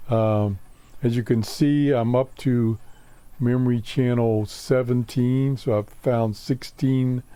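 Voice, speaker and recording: Slow-talking man with a dark, mellow voice. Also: medium pitch, no room sound. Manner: halting, matter-of-fact, pausing mid-sentence. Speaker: host of a shortwave radio hobby show. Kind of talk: casual unscripted radio demonstration. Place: home workshop.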